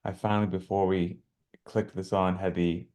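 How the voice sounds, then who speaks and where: A man's voice: low voice, level tone, normal speed, darkish tone. An American man hosting a casual video-call interview, asking questions in a friendly, chatty way. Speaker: interview host.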